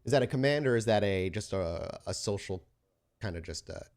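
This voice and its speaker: A man acting as game master, narrating an improvised tabletop story in a descriptive, halting way. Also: fairly low pitch, lively intonation, a little mellow.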